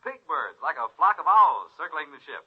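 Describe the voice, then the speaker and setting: A man playing an earnest, husky-voiced live reporter in a 1950s radio drama, heard through a thin broadcast filter. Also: a little high, very animated, moderate pace. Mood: hushed anticipation. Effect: broadcast filter, thin phone-like sound. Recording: very thin, phone-like old broadcast sound.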